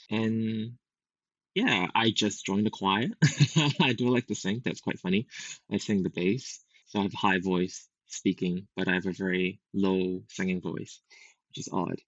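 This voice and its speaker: A man's voice: low-pitched, normal speed, no room sound. A young man from Singapore with a Singaporean accent, speaking reflectively as a podcast guest, with frequent fillers and restarts. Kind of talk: casual conversation. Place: home. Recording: dull lo-fi home recording.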